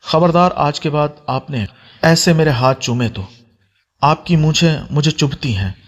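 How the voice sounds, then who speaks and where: A man's voice: a little high, unhurried. A man voicing a hurt, defiant young woman in a romance audio story, speaking with indignation. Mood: indignant.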